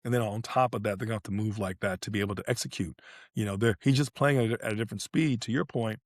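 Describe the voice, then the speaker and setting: A man's voice: fairly low pitch, quick pace, dry recording, studio quality. A man co-hosting a sports podcast, breaking down play in casual talk and repeating phrases for emphasis. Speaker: podcast co-host analysing tennis.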